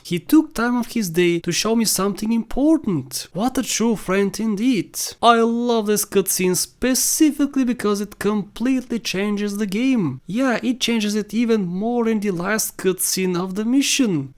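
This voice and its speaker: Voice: mid-range voice, a little mellow. A narrator giving scripted opinion commentary over video game clips in a countdown.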